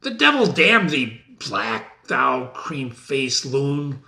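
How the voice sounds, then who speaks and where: A man's voice: mid-range voice, big pitch swings, slow pace, vocal fry, exaggerated voice. Man acting a defiant tyrant king, swooping dramatically. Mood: contemptuous. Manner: commanding, dramatic, with sudden shouts. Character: defiant, raging, world-weary Scottish tyrant king. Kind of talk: dramatic reading of Shakespearean verse.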